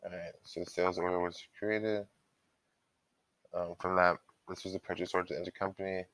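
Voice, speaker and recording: Male software trainer, very deep but crisp-edged voice, informal walkthrough delivery, explains while thinking aloud. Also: flat delivery, brisk, no echo, rough recording.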